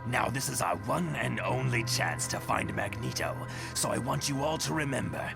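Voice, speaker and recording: A man's voice: mid-range voice, lively intonation, moderate pace, creaky, over music. A man playing a stern, secretive mutant villain leader in a motion comic, commanding and conspiratorial.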